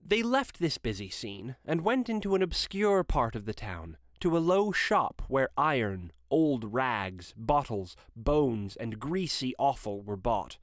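Very animated male storybook narrator. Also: medium pitch, a little slow, few pauses, dry recording, clean studio sound.

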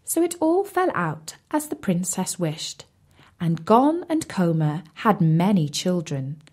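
A woman narrating a children's fairy tale as a storyteller, with an expressive, measured delivery. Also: on the low side. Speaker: storyteller, audiobook reader.

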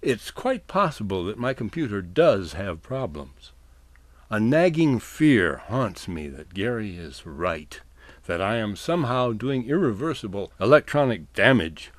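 A man's voice: mid-range voice, no room sound. A man narrating a scripted humorous essay in a wry, literate storytelling manner.

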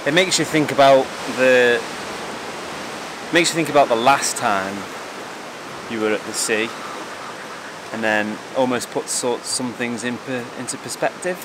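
A man's voice: mid-range voice, unhurried, pauses often. A young man vlogging on a coastal clifftop, chatting casually into a handheld camera in short, laid-back remarks. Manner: laid-back, brief quips. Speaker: travel vlogger. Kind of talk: casual outdoor vlog chat. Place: outdoors on coastal clifftop and shore. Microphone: camera held at arm's length. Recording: wind and surf noise. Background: waves and wind.

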